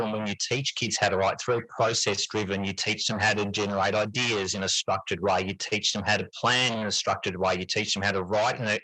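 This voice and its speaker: A man's voice: on the low side, sharp tone, no room sound, rough recording. A middle-aged man answering interview questions in a conversational, reflective and explanatory way. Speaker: interview guest, teacher and writer.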